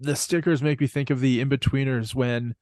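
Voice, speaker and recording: A man's voice: mid-range voice, steady tone, fairly quick, darkish tone, no room sound, clean studio sound. A man co-hosting a podcast in crude, casual chat, laughing through his stories and stumbling over restarts. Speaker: podcast co-host.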